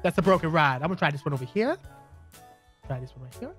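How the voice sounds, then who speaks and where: A man's voice: fairly high pitch, sing-song, fast talker, a bit bright, soft background music. A young man clowning and exclaiming through playful, improvised gameplay roleplay commentary. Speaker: gaming video player.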